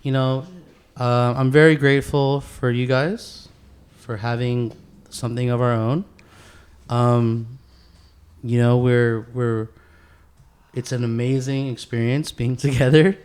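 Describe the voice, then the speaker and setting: A male podcast co-host with a middle-pitched voice chats casually. His talk is loose and slangy, full of swearing and buddy-style address. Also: little pitch movement, takes it slowly, long pauses, a little mellow, smooth. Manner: profane, slangy, peppered with "bro" and "dude".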